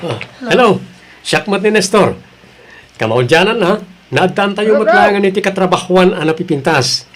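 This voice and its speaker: A genial, joking male colleague played by an actor with a high voice for a man. He speaks conversationally in an acted audio drama. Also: animated, moderate pace, fairly bright tone.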